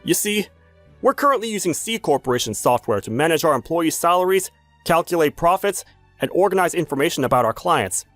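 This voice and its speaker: A man voicing a courteous businessman, a company chief, in a measured, formal manner for a manga dub. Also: on the high side, animated, fairly bright tone, no room sound, faint music behind. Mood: businesslike.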